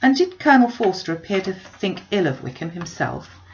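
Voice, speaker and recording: A woman voicing an anxious, indignant young gentlewoman in a classic novel, urgent, probing and emphatic. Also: mid-range voice, sing-song, brisk, muffled recording, slight background noise. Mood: urgent questioning.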